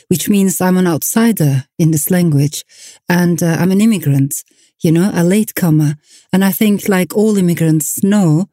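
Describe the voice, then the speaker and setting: A middle-aged woman with a Turkish accent, a novelist answering interview questions in a thoughtful, measured way with soft fillers. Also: lowish voice, no echo, clean studio sound. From Turkey. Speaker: novelist guest. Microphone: remote line from home.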